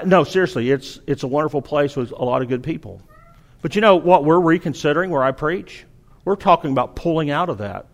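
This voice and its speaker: A middle-aged man with a Southern drawl from Arkansas delivers a sermon, his voice sitting noticeably high. He exhorts earnestly and often stumbles into restarts as he presses his point. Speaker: preacher.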